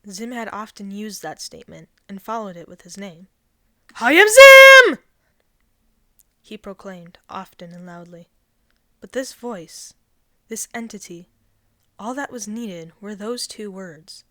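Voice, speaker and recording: A woman narrating a written story in a scripted, expressive reading style. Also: mid-range voice, a little slow, no room sound. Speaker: fan fiction reader.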